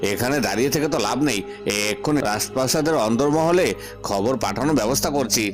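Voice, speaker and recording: A man voicing a gruff, scolding prison guard who questions people in a cartoon. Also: mid-range voice, animated, fast, close to an everyday voice. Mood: wary, puzzled. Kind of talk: cartoon dialogue. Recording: music behind the voice.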